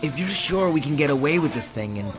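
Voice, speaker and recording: An actor playing a nervous, hapless failed comedian in a radio drama, hesitant and stammering. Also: male voice, fairly high pitch, expressive, brisk, close to an everyday voice, poor audio. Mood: anxious, hesitant. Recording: prominent music bed behind voice.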